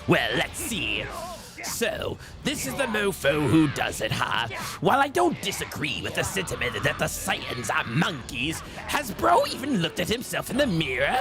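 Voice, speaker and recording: Male performer putting on an alien parasite video game villain: taunting, theatrical, highish, drawn-out delivery, loud game music behind. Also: wildly swooping pitch. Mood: mocking, gloating. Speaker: player voicing a fighting game character. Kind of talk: in-character live game commentary and trash talk.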